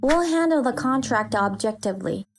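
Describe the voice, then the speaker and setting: Exasperated high-voiced wife in a manga dub, acted by a woman. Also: animated, normal speed, over music, lightly acted. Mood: firm, cold. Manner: exasperated, firm, articulate. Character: indignant, fed-up working wife and mother, firm. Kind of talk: manga dub acting and first-person story narration.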